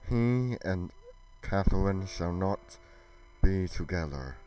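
A man playing a stern, spiteful god who speaks in solemn, halting pronouncements in an amateur scripted roleplay. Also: low voice, animated, warm tone, faint music behind, poor audio. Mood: cold, menacing. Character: stern, spiteful male god passing judgement.

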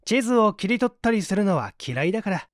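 A man voicing a calm, self-assured gentleman detective in a story reading, measured and explanatory. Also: high-pitched, no echo, studio quality, voice pushed up. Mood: matter-of-fact.

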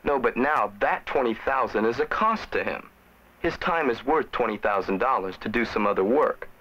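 A man's voice: medium pitch, expressive, normal speed, bright, forceful tone. An actor playing a blunt, matter-of-fact male staff member, explaining plainly in a 1970s educational film. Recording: dull old film soundtrack.

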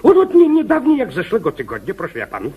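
A man's voice: high voice, huge pitch swings, fast talker, phone-quality sound. A man acting in a radio comedy as a jealous, overprotective husband, stammering, fussy, pompous and self-righteous. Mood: boastful storytelling.

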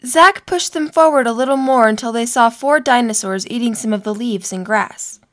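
A woman's voice: on the high side, moderate pace, a bit bright. A woman narrating a scripted story for an audio drama.

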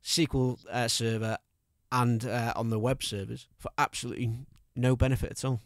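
A man's voice: medium pitch, flat delivery, normal speed, dry recording. Hesitant man with dry close sound. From North Wales border area. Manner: hesitant, many fillers, self-deprecating laughs. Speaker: podcast host. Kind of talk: casual podcast interview.

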